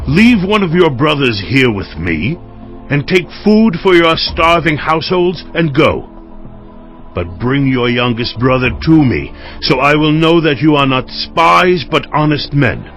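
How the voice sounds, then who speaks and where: Worried biblical brother, mid voice, very expressive, music behind, audio drama. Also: male voice, on the high side. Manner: earnest, emotive. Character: troubled, anxious Hebrew brother, a traveling shepherd. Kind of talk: dramatized audio Bible acting.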